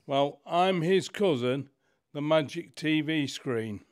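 A man's voice: fairly high pitch, expressive, slow pace, clean tone. A man voicing a teasing magic TV screen in a radio pantomime. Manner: teasing, cryptic. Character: mysterious magic TV screen, male.

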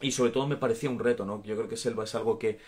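A man's voice: medium pitch, fairly even intonation, racing. A man in his thirties with an Andalusian accent, chatting casually on a live stream from a small room at home, reading out viewer comments and laughing often. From Andalusia, Spain. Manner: warm, chatty, laughs often, gestures while talking. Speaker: actor answering fans in a live stream. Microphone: phone or webcam at arm's length.

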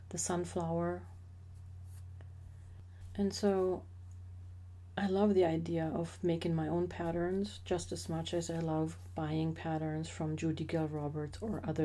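A middle-aged woman narrating a slideshow about her woodworking hobby in a measured, explanatory way with occasional fillers. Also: on the low side, warm tone. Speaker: associate professor sharing a hobby.

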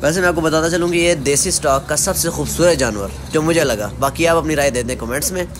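Excited man narrating a livestock vlog. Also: a little high, fast talker, noisy background. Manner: playful, rhyming, self-mocking asides. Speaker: vlogger narrating voice-over. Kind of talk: enthusiastic voice-over narration.

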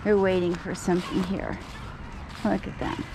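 A woman's voice: medium pitch, little pitch movement, moderate pace. A woman narrating a casual vlog while feeding birds outdoors on a lawn beside a road, chatting to the birds and grumbling about locals. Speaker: vlogger feeding wild birds. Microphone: handheld camera held low. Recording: outdoor noise of traffic and birds.

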